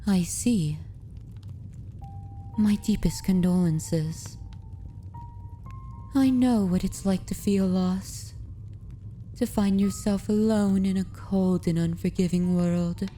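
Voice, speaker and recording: Slow, dark-voiced woman playing a vampire mistress. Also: medium pitch, faint music behind, natural voice. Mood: gentle, sympathetic. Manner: formal, deliberate, archaic wording, soothing. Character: aristocratic female vampire mistress, predatory yet gently consoling. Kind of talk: ASMR roleplay, scripted dramatic monologue.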